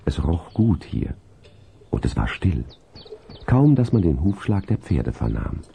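A man playing the storyteller of a children's horse tale, with a gravelly, husky voice and scripted storybook phrasing. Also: extremely low pitch, sing-song, a little slow, mellow, a little hiss or hum, heavily acted. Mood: calm, atmospheric. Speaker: narrator.

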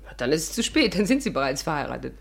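A woman's voice: low-pitched, sing-song, fast talker, gravelly, husky, full character voice. An actress playing a witty, persuasive worldly woman with a playful, wry manner, in a 1950s radio drama. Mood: coaxing. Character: witty, persuasive, worldly female adventurer telling her story. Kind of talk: 1950s German radio drama acting and narration.

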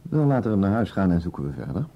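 An actor with a Netherlands Dutch accent playing a stubborn, firm and curt police commissioner in a naturalistic radio play. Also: male voice, deep voice, big pitch swings, rapid-fire, full character voice. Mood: insistent, defensive. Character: stubborn, authoritative male police commissioner, short-tempered. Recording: muffled radio drama recording.

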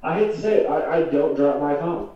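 A man's voice: fairly high pitch, fairly quick, mellow. A man in his twenties from the United States, chatting casually and slangily, recorded muffled and echoey from a distance. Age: 20s. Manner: slangy, mild swearing, says "bro" a lot. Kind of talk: casual chat. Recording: muffled, echoey, far from the mic.

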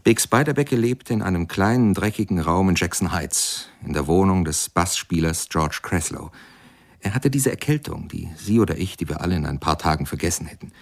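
An actor playing the narrator of a radio ballad, addressing listeners directly in short, deliberate sentences. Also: male voice, sits low, creaky. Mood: sober, mournful. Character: storytelling male narrator of a jazz musician's sad life.

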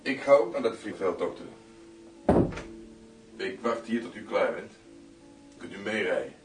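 A man's voice: on the low side, expressive, a little slow, over loud music, low-quality recording. An actor playing a businesslike, matter-of-fact henchman in a radio drama. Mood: firm. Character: businesslike henchman, a general's right-hand man.

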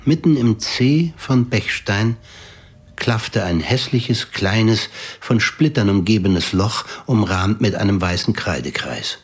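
A man narrating a literary radio drama in a measured, deliberate, scripted storytelling manner. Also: on the low side, expressive, husky.

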